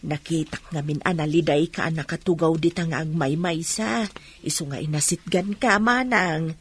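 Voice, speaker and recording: A woman's voice: low-pitched, normal speed, slight background noise, close to an everyday voice. An actress with a Filipino accent playing a concerned, friendly village woman in a radio drama.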